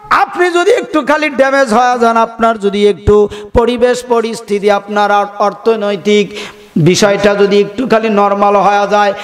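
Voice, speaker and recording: High-pitched Bangladeshi preacher man, heavy reverb. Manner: drawn-out, sing-song, exhorting delivery. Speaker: Islamic preacher. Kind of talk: fervent sermon with chanted passages and calls for audience response. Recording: long hall reverb, music-like sound behind.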